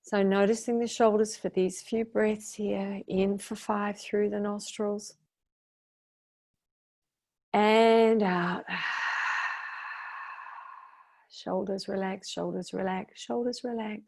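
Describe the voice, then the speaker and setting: Woman, meditation teacher, very slow pace, gravelly creak, soothing instruction, long pauses. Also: mid-range voice, steady tone, a little mellow. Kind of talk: guided meditation.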